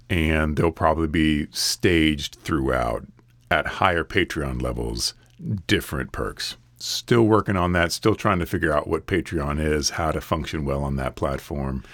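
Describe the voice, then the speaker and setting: A man hosting a solo podcast, his voice a bit raspy from a recent illness, talking in an earnest, steady, advice-giving way. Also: extremely low pitch, a little slow, no echo. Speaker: podcast host. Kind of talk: casual solo podcast monologue.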